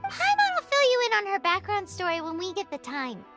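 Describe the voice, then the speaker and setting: A tiny girlish fairy sidekick from a video game. The voice is squeaky and extremely high, bouncing around with indignant energy over faint music. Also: wildly swooping pitch, brisk, a bit bright, full character voice. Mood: matter-of-fact. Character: tiny, indignant, childlike female fairy companion.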